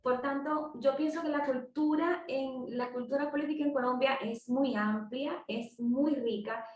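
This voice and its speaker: Psychology student from the Dominican Republic; slightly high voice, measured delivery, echoey room with a distant mic. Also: female voice, fairly even intonation, lo-fi sound. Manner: measured, with frequent restarts. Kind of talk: group class presentation.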